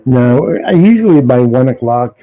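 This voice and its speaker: A man's voice: medium pitch, lively intonation, normal speed, lo-fi sound. A man hosting a late-night online panel stream in an easygoing, folksy way. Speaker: host of a live panel stream. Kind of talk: casual live-stream chat.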